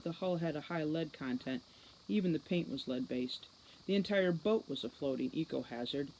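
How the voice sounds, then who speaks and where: A woman giving an expressive scripted narration of a story as an audiobook reader. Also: deep voice, a little hiss or hum, poor audio.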